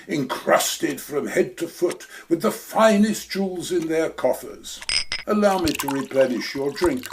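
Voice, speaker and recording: A man's voice: a little high, creaky, a little mellow. A man playing a suave, scheming older treasure hunter in a community radio play, with a pompous, florid and long-winded manner.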